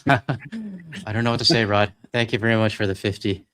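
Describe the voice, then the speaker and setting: Chatty Canadian man, low voice. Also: animated, normal speed, a bit bright. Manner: rambling, chatty, self-deprecating, many fillers. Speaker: co-host reading donations. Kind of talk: casual live-stream chat.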